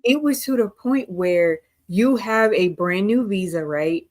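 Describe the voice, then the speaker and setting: Woman cohost talking thru her notes on a live stream, earnest, mid pitch. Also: a little slow, a little mellow. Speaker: co-host. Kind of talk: casual discussion on a live stream.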